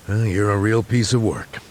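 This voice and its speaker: An older man with an American accent playing a wry, nosy, unhurried detective who needles people, in a video game. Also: fairly low pitch, slight background noise. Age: older, near retirement. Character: needling, unhurried old male detective tailing an ex-con. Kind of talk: English video game dub acting.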